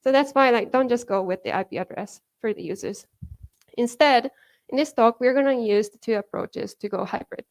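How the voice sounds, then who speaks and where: A woman's voice: fairly high pitch, normal speed. A woman from Japan with a Japanese accent, giving a conference talk in a chatty way full of fillers, laughing now and then. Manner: chatty, many fillers like "you know" and "like", laughs often. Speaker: conference speaker. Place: conference hall.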